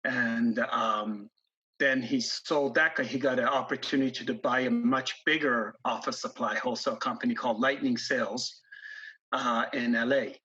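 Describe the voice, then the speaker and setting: Man, 50s, from Iran, husky voice, halting interview guest, dull lo-fi audio. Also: medium pitch, steady tone, bright, forceful tone. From grew up in Iran, born in the US. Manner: halting, many ums and restarts. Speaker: guest investor. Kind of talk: casual interview storytelling.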